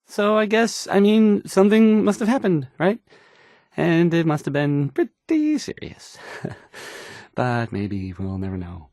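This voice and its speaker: An actor playing a nervous, bumbling, insecure young radio DJ in a game, hesitant and stammering through the news. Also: male voice, fairly high pitch, big pitch swings, unhurried, darkish tone, no room sound, clean studio sound. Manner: stammering, hesitant, self-doubting. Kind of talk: halting radio news announcing.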